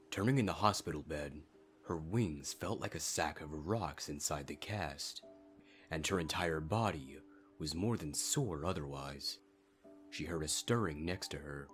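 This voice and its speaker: A man reading a dramatic scripted story as a fan fiction narrator. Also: very deep voice. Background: faint music.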